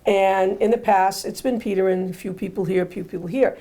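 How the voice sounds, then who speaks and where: A woman hosting a casual interview, her voice hoarse and going, rambling and repeating herself with joking asides. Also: medium pitch, steady tone, fairly quick, dark tone, poor audio. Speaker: host.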